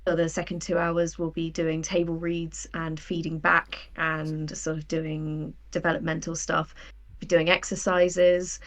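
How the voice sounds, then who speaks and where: A woman's voice: deep voice, completely flat delivery, unhurried. A woman, talking as an interview guest in a conversational, enthusiastic, explanatory way. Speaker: guest, audio drama writer and producer.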